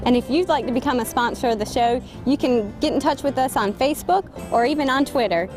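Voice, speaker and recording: High-voiced cheerful female co-host. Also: fast talker, music in the background. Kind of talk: show wrap-up.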